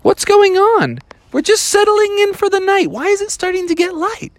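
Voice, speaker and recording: A man voicing excitable young bear cubs in a whiny, frantic, squealing falsetto for a children's story. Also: moderate pace, no echo, full character voice. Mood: bewildered.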